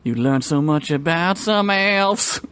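Male co-host, slightly high voice, very varied pitch, joking casual banter. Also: animated, takes it slowly, a bit bright, clean tone. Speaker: podcast co-host.

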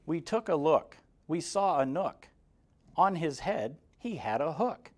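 A man in his forties reading a rhyming children's picture book aloud, expressively, in a measured sing-song with clear emphasis. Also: on the high side, sing-song. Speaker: guest story reader for kindergarteners. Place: indoor room, seated in front of a banner. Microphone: lapel mic.

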